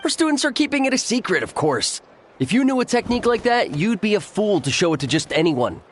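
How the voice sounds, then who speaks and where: A man's voice: high voice, big pitch swings, brisk, a little hiss or hum. A man voicing a stubborn young tribesman in a video game who insists on the old version of history. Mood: insistent. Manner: insistent, dismissive.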